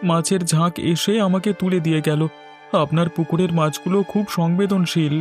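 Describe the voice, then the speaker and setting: Man playing a higher-voiced earnest young villager. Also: steady tone, normal speed, darkish tone, faint music behind, natural voice. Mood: earnest, insistent. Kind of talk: cartoon voice acting.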